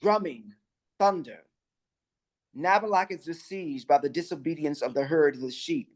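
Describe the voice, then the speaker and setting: A man reading stage directions aloud in a measured, even way during a play reading. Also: on the high side.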